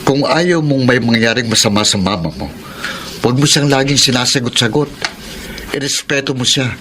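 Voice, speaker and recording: An actor playing a calm, firm middle-aged widower in a Filipino radio drama, speaking in a measured, earnest way. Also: male voice, medium pitch, background noise, lightly acted.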